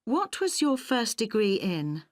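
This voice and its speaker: A woman acting a polite, efficient university admissions officer in a scripted listening-test dialogue, speaking clearly. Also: a little high, big pitch swings, a little slow, dry recording, clean studio sound. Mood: polite, businesslike. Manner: polite, clearly enunciated, businesslike.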